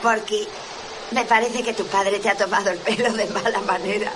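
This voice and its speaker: A woman's voice: medium pitch, steady tone, moderate pace, natural voice. A woman dubbing a castaway boy in a film, dry and teasing in a matter-of-fact way, over outdoor ambience. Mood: wry. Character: boy castaway, wry and practical. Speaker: dub actor in a film clip. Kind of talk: dubbed film dialogue. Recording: film soundtrack with clear outdoor ambience noise.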